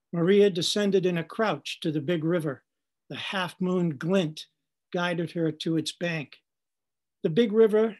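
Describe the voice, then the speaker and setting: A man giving a measured literary reading in short deliberate phrases at an online open mic. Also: high voice. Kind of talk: literary reading, descriptive narrative.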